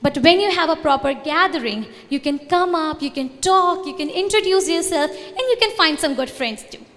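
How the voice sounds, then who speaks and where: A woman's voice: high-pitched, moderate pace, fairly bright tone, soft background music. A young woman from India with an Indian accent, for whom Hindi is her first language, giving an earnest conference presentation with loose phrasing and restarts. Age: young adult. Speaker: student organization representative. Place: large convention hall. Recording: reverberant hall.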